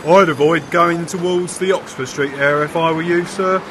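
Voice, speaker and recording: A polite, deferential police constable, played by a man. His voice sits slightly high. Street noise is clearly heard behind him. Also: steady tone, moderate pace, close to an everyday voice. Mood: helpful. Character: helpful male police officer.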